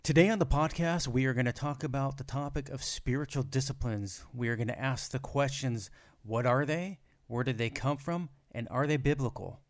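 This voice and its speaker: A man's voice: medium pitch, normal speed, long pauses, no room sound, studio quality. A man hosting a podcast, welcoming a guest in an upbeat, friendly way. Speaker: podcast host. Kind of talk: casual podcast interview.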